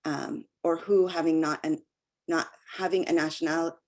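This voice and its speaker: An American woman, an academic researcher, giving an explanatory slide lecture in a halting way with frequent pauses and ums. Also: low-pitched, steady tone, a little mellow. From United States.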